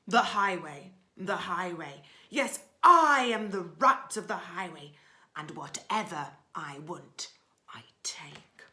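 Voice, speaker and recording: A woman voicing a boastful, greedy male rat highwayman from a rhyming storybook, with loud theatrical commands. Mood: bullying, boastful. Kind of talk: rhyming children's storybook villain.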